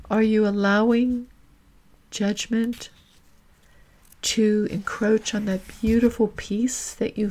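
A woman leading a guided reflection in the manner of a podcast host, gentle and deliberate, with long reflective pauses. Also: medium pitch, rough recording.

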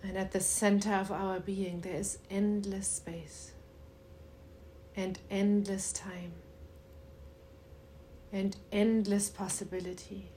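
Very slow, even-toned woman guiding meditation. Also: medium pitch, a little hiss or hum. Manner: soothing, unhurried, with long pauses. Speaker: meditation guide. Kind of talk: guided meditation.

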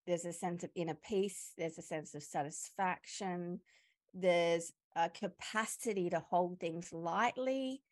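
A woman's voice: on the low side, measured pace. A woman hosting a podcast interview, reflecting back and affirming her guest's words. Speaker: podcast host, coach. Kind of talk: interview conversation.

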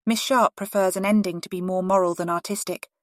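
A woman's voice: mid-range voice, steady tone, fairly quick, dry recording, studio quality, close to an everyday voice. A woman playing a genteel, candid Regency-era lady of letters in a refined, composed dramatized reading.

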